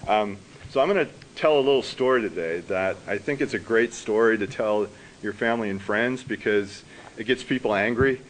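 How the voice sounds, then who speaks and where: A man with a booming voice giving a conversational conference talk with fillers, recorded through a microphone set down in a conference hall. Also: moderate pace, slight background noise. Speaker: conference speaker.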